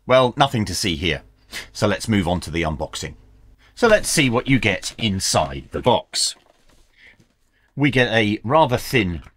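A man in his fifties presenting a scripted product review in a measured, clearly enunciated way. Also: mid-range voice, a bit bright. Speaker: host of a radio review channel. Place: small indoor workshop.

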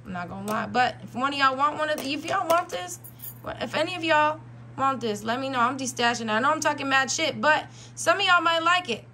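American woman, somewhat high voice, worn-out, casual vlog chat, emphatic repetition, slangy and blunt, noticeably noisy recording. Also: animated, brisk, sharp tone. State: voice worn out, losing it. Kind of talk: casual chat to viewers, product review.

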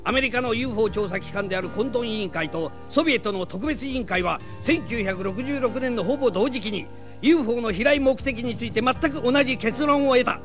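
A man's voice: very high-pitched, fairly quick, a bit bright, faint music behind. A man playing a stern, zealous commander of a secret space force in a grandiose, declamatory audio drama style. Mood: grave, declaiming.

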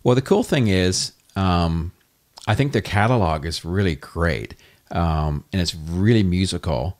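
A man's voice: deep voice, expressive, unhurried, darkish tone. A man hosting a podcast interview, talking casually and enthusiastically with many fillers. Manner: enthusiastic, complimentary, rambling. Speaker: podcast host.

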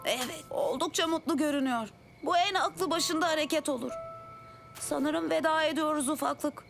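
A woman's voice: sits high, moderate pace, creaky. An actress voicing a cocky, impulsive ten-year-old boy hero in a cartoon dub, with a brash, boyish manner. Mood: earnest. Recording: loud music under the voice.

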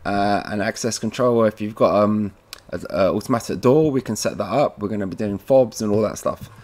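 A man presenting a casual, informal step-by-step software tutorial, talking to viewers like mates as he works at a computer. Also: fairly low pitch, brisk. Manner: informal, addresses viewers as guys.

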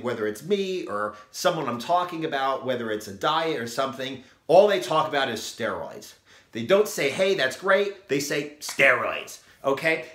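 A man's voice: fairly high pitch, fairly bright tone, roomy sound. A man in his forties giving a blunt, emphatic motivational talk to the camera in a small room. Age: 40s. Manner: emphatic, blunt, repeats "okay?". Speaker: fitness vlogger. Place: small room, plain grey backdrop.

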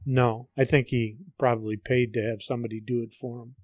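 An older man giving casual interview answers in a halting, dryly joking way. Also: mid-range voice, little pitch movement, very noisy. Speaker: interview guest, father of the hosts.

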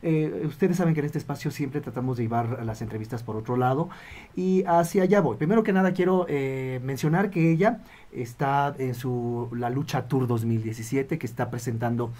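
A middle-aged man hosting a radio interview in a studio booth, talking with many hesitant "eh" pauses. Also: mid-range voice, mellow, distant mic. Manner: filler-heavy, many "eh" pauses. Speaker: radio show host. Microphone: studio boom mic, heard from a distance. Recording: roomy studio, voice off-mic.

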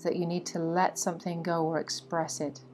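A woman guiding a meditation in a soothing, instructive way, with frequent pauses between phrases. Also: lowish voice, little pitch movement. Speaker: guided meditation teacher. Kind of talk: guided meditation narration. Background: meditation music with singing bowls.

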